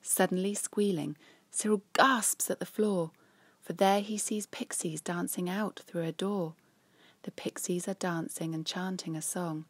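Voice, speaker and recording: A woman reading a children's story in an expressive, measured and dramatic way. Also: on the low side, husky, no echo.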